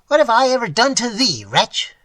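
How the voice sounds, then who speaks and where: A man's voice: wildly swooping pitch, normal speed, sharp tone, dry recording, heavily acted. A man voicing a frail old miser who cries out in anguish and pleads. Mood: reproachful. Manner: shrill, wailing cries.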